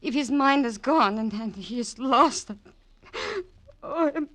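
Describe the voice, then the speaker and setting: An actress playing an anxious, melodramatic prima ballerina in 1940s radio drama style, halting and repeating words in distress. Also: female voice, on the high side, fairly bright tone. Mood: fearful. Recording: noisy old radio recording.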